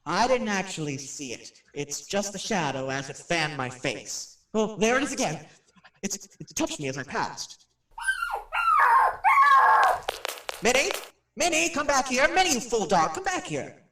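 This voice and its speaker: A skeptical radio personality touring a haunted house in a radio play. His voice is fairly high and very animated, with chatty, hesitant on-the-spot narration. It sounds echoey, as if recorded in an open room. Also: moderate pace, distant mic, full character voice. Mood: startled, alarmed. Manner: chatty, narrates what he sees, hesitant asides. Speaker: radio host. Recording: roomy echo, off the microphone.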